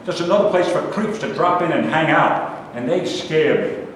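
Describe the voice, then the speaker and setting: A man in a staged play reading, playing a bitter, nostalgic, judgmental city loner who rants haltingly. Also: fairly high pitch, close to an everyday voice. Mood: bitter, grumbling. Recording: very echoey hall, distant mic, faint noise.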